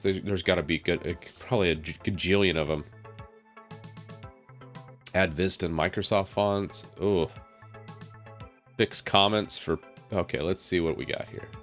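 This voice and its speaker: A man, slightly raspy from a head cold, talks casually on a live stream, thinking aloud as he works with lots of fillers and small laughs. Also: low-pitched, steady tone, normal speed, long pauses, a bit bright, soft background music. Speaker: tech streamer. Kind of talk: casual live stream talk while working on screen.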